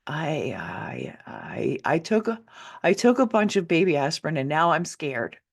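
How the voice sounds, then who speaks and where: A woman voicing a frightened, tearful eight-year-old girl in an audiobook, halting and timid. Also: low-pitched, sing-song, vocal fry, exaggerated voice. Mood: scared, tearful. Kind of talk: acted audiobook dialogue.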